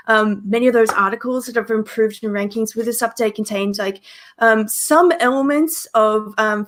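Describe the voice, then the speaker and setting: A woman's voice: a little high, a bit bright. A young woman co-hosting a livestreamed meetup over a video call, chatty with many ums and likes. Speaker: meetup co-host.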